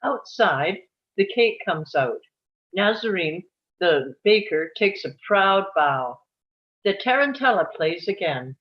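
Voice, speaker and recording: A woman's voice: lowish voice, sing-song, takes it slowly, highs cut off. A woman reading stage directions as scripted narration at a table read.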